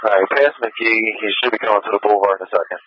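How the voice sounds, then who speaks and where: Fast-talking male officer on police radio. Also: lowish voice, steady tone, a little hiss or hum. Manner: terse, matter-of-fact. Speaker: police officer reporting a pursuit. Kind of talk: live radio pursuit updates. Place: out in the field following a pursuit. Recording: dull lo-fi police scanner radio.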